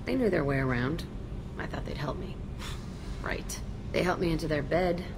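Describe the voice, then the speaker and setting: Tough young ex-con girl, game character, low-ish voice, abrasive n guarded, noisy background. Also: normal speed, gravelly. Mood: bitter. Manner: curt, abrasive, sardonic. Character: tough, guarded young female ex-convict, cynical, defiant. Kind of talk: video game character acting.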